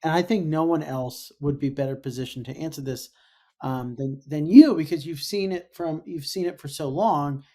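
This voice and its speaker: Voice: medium pitch, moderate pace, dark tone. A podcast host interviewing a guest remotely, talking conversationally with fillers and playful asides. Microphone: remote call setup.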